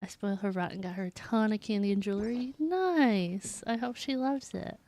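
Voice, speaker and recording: A woman's voice: medium pitch, normal speed, mellow. Chatty woman streaming a game. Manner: chatty, reads game text aloud. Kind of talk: casual gameplay commentary.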